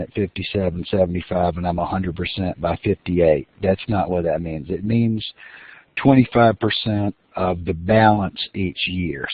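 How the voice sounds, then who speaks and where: A man's voice: deep voice, no echo. A man giving a recorded lecture as the instructor, explaining step by step with fillers and restarts. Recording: dry, phone-like lo-fi audio.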